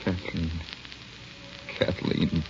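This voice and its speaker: A man's voice: very slow, music in the background. An actor playing a wounded, self-denying young man in love, hesitant and earnest, in an old radio drama. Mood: anguished, tender. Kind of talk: 1940s radio drama acting. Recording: muffled, crackly old radio broadcast.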